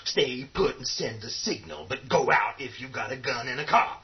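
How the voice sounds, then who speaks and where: An actor playing a gruff, protective survivors' leader, firm and blunt, in a horror radio play. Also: male voice, medium pitch, lively intonation, moderate pace, lightly acted. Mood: sardonic. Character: commanding, protective, gruff man leading survivors. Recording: dull, muffled audio.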